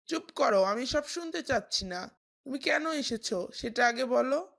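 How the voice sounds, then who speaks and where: Woman doing a rude gravelly cartoon boy. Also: sits high, lively intonation, a little slow, a bit bright, heavily acted. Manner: curt, rude. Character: rude, dismissive neighbor boy.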